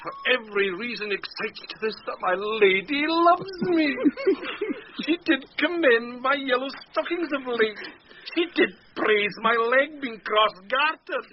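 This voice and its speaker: A man's voice: very high voice, animated, a crowd in the background. An actor playing a pompous, vain and gullible household steward in a theatrical Shakespearean radio drama, savouring and drawing out his words. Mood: gloating, elated. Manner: pompous, savouring and drawn-out delivery. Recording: muffled, noisy old radio broadcast.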